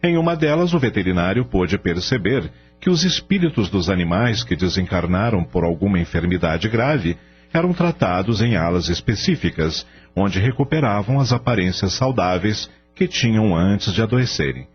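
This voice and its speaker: A man's voice: fairly low pitch, animated, moderate pace. A man narrating a scripted radio drama. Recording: dull lo-fi radio drama with music.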